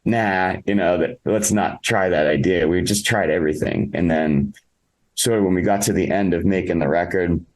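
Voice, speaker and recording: Male musician for a podcast interview: very low voice, casual, halting, thoughtful delivery. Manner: halting, reflective, thinking aloud. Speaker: interviewed musician, singer in a band.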